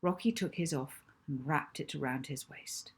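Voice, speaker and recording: A woman's voice: deep voice, normal speed, husky. A woman narrating a children's audiobook, reading scripted story prose expressively as its author.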